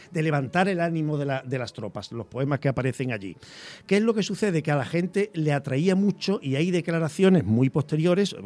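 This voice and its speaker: A man speaking as a guest about a poet, explaining at length and sometimes reading verse slowly and softly. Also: on the high side. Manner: reads verse slowly and softly, trailing off at line ends; rambling, restarts words while explaining. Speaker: literature guest. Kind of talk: explanatory radio talk with poetry reading.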